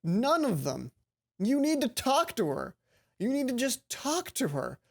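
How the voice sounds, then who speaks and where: A woman's voice: on the high side, unhurried, creaky. A woman let's player reading a visual novel aloud expressively, now and then stumbling and repeating a line. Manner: expressive, stumbles and rereads lines.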